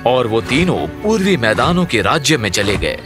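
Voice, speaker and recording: Male narrator for fairy-tale cartoon, expressive and varied scripted read, clear music bed. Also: mid-range voice, normal speed.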